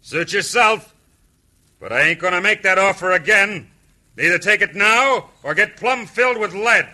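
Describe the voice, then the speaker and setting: Sharp-voiced, ruthless western radio villain. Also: male voice, high-pitched, moderate pace. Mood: cold, commanding. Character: ruthless gloating western villain, double-crossing gunman. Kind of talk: old-time radio western acting. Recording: old radio recording, faint noise.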